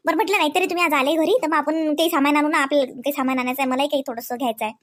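A woman plays a young housewife and mother in a domestic comedy cartoon. Her voice sits high, and she talks in a chatty, coaxing, practical way. Her Marathi is homely, with a Varhadi accent from Vidarbha. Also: steady tone, racing, lightly acted.

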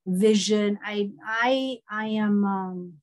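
A middle-aged woman, speaking as an interview guest over a headset on a video call, telling her story warmly with many fillers. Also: medium pitch, slow pace. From East Germany. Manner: warm storytelling, frequent laughs and fillers. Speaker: guest, horse body-work therapist.

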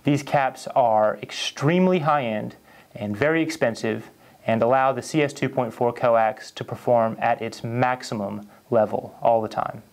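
A man in his thirties giving a measured, formal, articulate scripted product presentation as a company representative. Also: mid-range voice. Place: carpeted indoor listening room with acoustic panels, seated.

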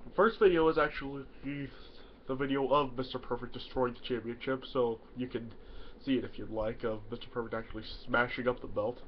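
A young man with a stuffy nose from a cold, talking casually to camera in a small bedroom and rambling with many fillers. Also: medium pitch. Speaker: YouTuber. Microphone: webcam in front of him. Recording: dull lo-fi webcam audio, faint hiss.

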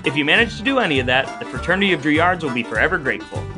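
A man's voice: on the high side, very animated, very fast, over music. A man co-hosting a podcast, chatting and presenting from partly scripted notes. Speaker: podcast co-host.

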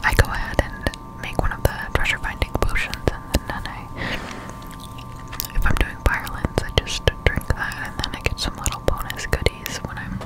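A woman's voice: moderate pace, poor audio. A young woman whispering breathily up close to the mic, giving rambling ASMR gameplay commentary as she muses to herself. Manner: rambling, musing aloud to herself. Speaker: gameplay streamer. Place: indoors at a home computer. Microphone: close-up desktop condenser mic.

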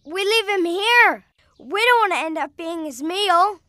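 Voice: very high voice, animated, unhurried, bright, forceful tone, a little hiss or hum, full character voice. An actor voicing a confident, reasonable young animal in a children's cartoon, speaking in an assured, explanatory way. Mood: uneasy.

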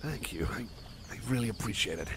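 A man's voice: fairly low pitch, expressive, fairly quick, background noise, lightly acted. A man playing a shy, awkward, eager-to-please cyborg buddy in an ASMR roleplay, rambling to the listener in a hesitant, stammering, apologetic way. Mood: shy, grateful.

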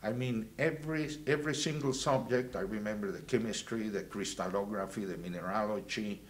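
Older man, halting recollections. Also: lowish voice. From Venezuela, raised in Guatemala. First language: Spanish. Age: 70s. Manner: halting, many fillers and restarts, gestures while talking. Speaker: alumnus interviewee. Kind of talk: reminiscing interview. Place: indoors, plain backdrop.

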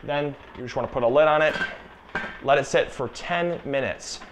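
A man's voice: medium pitch, moderate pace, a little hiss or hum. A young man in his twenties, chatty and instructive, talking through a canning demonstration in a home kitchen on a lapel mic. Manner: chatty, instructive, repeats key warnings. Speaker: gardening channel host. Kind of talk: casual cooking demonstration.

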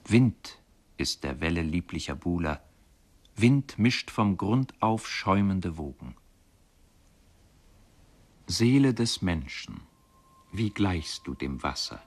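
An actor as a solemn reciter of classical poetry in a radio production. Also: male voice, low-pitched, lively intonation, takes it slowly, long pauses, exaggerated voice.

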